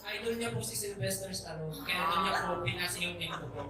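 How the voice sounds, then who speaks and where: A man's voice: high-pitched, fast talker, over loud music. A man answering questions at a press conference, politely and a little hesitantly. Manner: polite, hesitant, many respectful particles. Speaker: interviewee. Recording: echoey room, far from mic.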